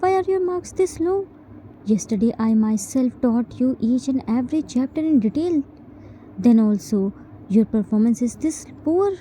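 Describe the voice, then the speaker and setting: A woman's voice: a little high, lively intonation, moderate pace, warm tone, a little hiss or hum, close to an everyday voice, rough recording. A woman doing a strict, exhausted guardian brother. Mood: angry. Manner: angry, lecturing, exasperated.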